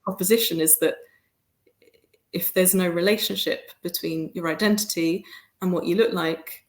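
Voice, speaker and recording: A woman with a London accent, an articulate and expressive podcast guest in casual conversation who laughs often. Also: on the low side, normal speed, roomy sound. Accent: London English. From London, England. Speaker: podcast guest, theatre maker.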